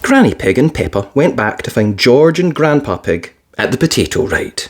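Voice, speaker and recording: A woman reading a children's picture book aloud as storyteller, expressive and playful with sound words. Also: extremely low pitch, moderate pace, slightly rough.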